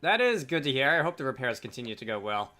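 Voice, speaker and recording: A man voicing an earnest, gentle young princess in a fantasy game, speaking politely and sincerely. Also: medium pitch, big pitch swings, quick pace, soft background music.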